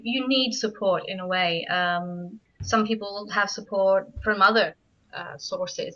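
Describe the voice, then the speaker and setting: A woman's voice: medium pitch, bright, forceful tone. A woman from Spain, a native Spanish speaker, answering interview questions about her writing with frequent hesitant restarts. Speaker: guest writer. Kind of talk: radio interview. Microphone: studio microphone. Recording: muffled radio studio audio.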